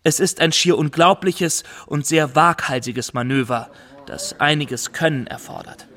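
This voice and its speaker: Radio drama: earnest pirate defendant, high-pitched, formal storyteller with anguish breaking through. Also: male voice, unhurried, pushed and bright. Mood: earnest, explaining. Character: earnest Somali pirate, confessing in a courtroom.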